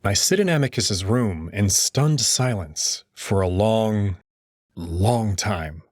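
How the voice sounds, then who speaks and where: Male narrator, first-person visual novel, lowish voice, lively intonation, faint music. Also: slow, no room sound, clean studio sound.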